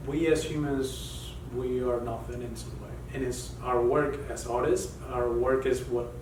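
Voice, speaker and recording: A man's voice: medium pitch, steady tone. Reflective Colombian-born man, distant roomy sound. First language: Spanish. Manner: reflective, halting, many restarts. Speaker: artist guest. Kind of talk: casual interview talk. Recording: roomy and noisy, mic far off.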